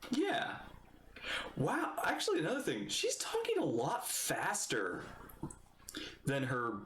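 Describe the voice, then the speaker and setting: A man giving excitable, playful, casual reaction commentary as a game streamer. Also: a little high, takes it slowly, noisy background. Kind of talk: casual gameplay reaction commentary, reading lines aloud. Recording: roomy echo. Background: game music.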